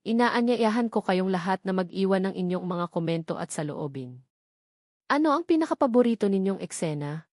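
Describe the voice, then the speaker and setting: A woman narrating a romance story in a soft, heartfelt, measured way, then thanking her listeners as the author. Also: mid-range voice, no room sound, studio quality.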